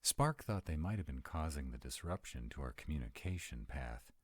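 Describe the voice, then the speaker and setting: Vampire for audio drama, male actor: dutiful, protective, very low register, lively inflection, close dry sound. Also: normal speed, no echo. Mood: uneasy, recounting. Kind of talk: roleplay audio drama acting.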